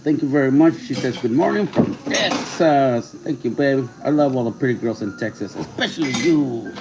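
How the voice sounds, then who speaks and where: A man in his fifties from California hosting a casual livestream from home, reading out viewer comments and names, thanking people warmly and slipping in Spanish phrases. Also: mid-range voice, lively intonation, noisy background. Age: 50s. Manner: warm, thankful, reads out viewer names slowly; mixes Spanish phrases into English. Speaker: livestream host.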